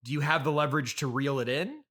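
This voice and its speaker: A man hosting an improvised comedy interview, deadpan, hesitant and politely puzzled. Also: on the high side, fast. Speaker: comedy podcast host and interviewer.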